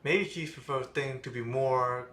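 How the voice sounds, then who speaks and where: Slow, halting livestreamer in a roomy space. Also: medium pitch, fairly bright tone. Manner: halting, laughing, non-native grammar. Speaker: streamer. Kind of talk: casual livestream commentary. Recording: roomy home mic with game music.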